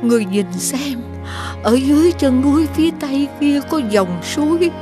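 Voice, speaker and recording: A woman's voice: high voice, expressive, normal speed, over music. An actress playing a plaintive, sorrowful elderly village woman in a fairy-tale cartoon, with a southern Vietnamese accent. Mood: weary, warning. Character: sorrowful elderly villager whose grandchildren were eaten. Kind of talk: animated fairy-tale dialogue.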